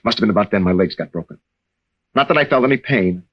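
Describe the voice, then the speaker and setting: Earnest, husky young American veteran. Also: male voice, on the low side, lively intonation. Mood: haunted, confessional. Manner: halting, earnest, with stammered restarts. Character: troubled young navy veteran husband, haunted and earnest. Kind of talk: 1940s-50s radio drama acting. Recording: dull old-time radio recording.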